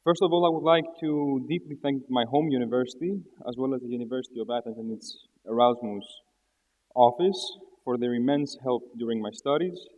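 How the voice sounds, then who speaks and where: A young man delivers a formal, prepared student speech. The sound is thin and phone-like, with long hall reverb. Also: mid-range voice. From Greece. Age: 20s. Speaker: student speaker.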